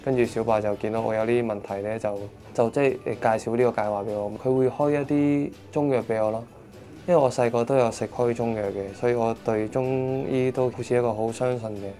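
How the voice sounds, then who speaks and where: A man's voice: mid-range voice, fairly even intonation, fairly quick, warm tone, soft background music. A man, a patient giving a candid personal testimony in short bursts. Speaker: patient in a support program.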